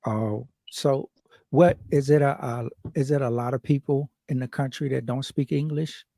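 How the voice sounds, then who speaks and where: A man's voice: medium pitch, fairly even intonation, moderate pace, vocal fry, mellow, dry recording. A man interviewing a guest, asking short, hesitant questions. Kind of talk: casual interview.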